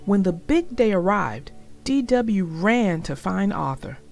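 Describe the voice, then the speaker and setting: A woman reads a children's story aloud with lively, wide intonation. Her pace is slow and her voice has noticeable creak, with music playing behind the narration. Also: mid-range voice. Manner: unhurried, storytelling delivery. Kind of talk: expressive scripted read-aloud of a storybook.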